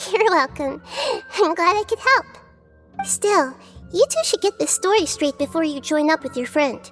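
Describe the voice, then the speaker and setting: A woman voicing a gentle, wise, childlike little deity in a video game, speaking in a measured, thoughtful way. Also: extremely high pitch, animated, faint music behind. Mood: gentle, pleased.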